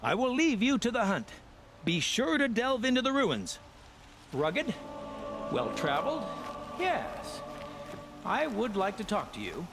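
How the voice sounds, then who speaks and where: A timid, eccentric merchant from a video game, speaks in a high voice that swings widely. His phrasing is flowery and theatrical. Music plays clearly behind him. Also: very animated, unhurried, long pauses, heavily acted. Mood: eager. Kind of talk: video game character acting.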